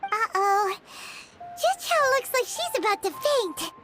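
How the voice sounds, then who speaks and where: Childlike fairy sidekick, squeaky, very high-pitched, excitable, blunt, video game, loud jingly music behind. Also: female voice, slow pace, full character voice. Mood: dismayed. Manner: exclaiming, refers to self in third person.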